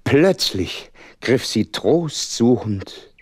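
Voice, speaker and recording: An actor playing a wistful, romantic gentleman doctor who narrates a radio drama in a measured, literary way with dramatic pauses. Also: medium pitch, very animated, a little hiss or hum. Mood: hushed, suspenseful.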